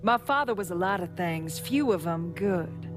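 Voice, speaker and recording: Rueful storyteller over music. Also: male voice, high voice, expressive, normal speed. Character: reflective man remembering his crooked father. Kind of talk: reflective interview narration in a video game.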